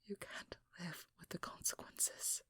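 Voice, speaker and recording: A woman playing a determined, guarded and protective vampire hunter in an intimate ASMR role-play, hushed and often whispering, with halting, earnest delivery. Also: no echo. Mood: grave, warning. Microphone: close microphone in a dry room.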